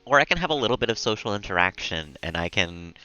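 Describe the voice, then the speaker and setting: A man chatting casually and calmly as he streams a video game, rambling a little as he plays. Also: lowish voice, fast talker, smooth, no echo, soft background music, poor audio. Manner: calm, relaxed, rambling. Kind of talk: casual chat while gaming.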